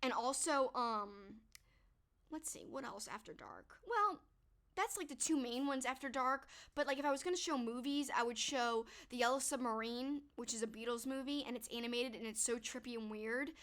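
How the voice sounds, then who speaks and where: A woman's voice: a little high, moderate pace, a bit bright. Chatty young woman with a strained voice. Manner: chatty, upbeat, full of "like" and "um". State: losing her voice. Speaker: streamer answering viewer questions. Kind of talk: casual chat to a live stream.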